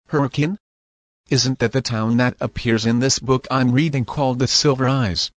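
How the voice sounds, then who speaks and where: A man's voice: medium pitch, steady tone, moderate pace, no echo, natural voice. A man playing a naive, easily distracted news co-host in an audio drama, casual and meek in manner. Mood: curious. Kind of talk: amateur audio drama newscast.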